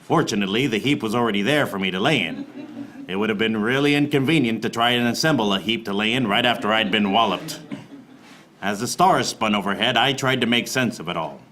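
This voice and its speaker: An actor playing a wry, beleaguered private eye, narrating a noir radio play in a deadpan manner. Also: male voice, fairly low pitch, a little fast, a little hiss or hum. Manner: deadpan, fourth-wall-breaking.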